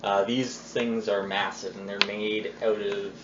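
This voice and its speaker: A man running a tabletop game as game master, describing scenes off the cuff with halting pauses. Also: fairly low pitch, level tone. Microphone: phone recording the group. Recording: noisy muffled phone recording in echoey room.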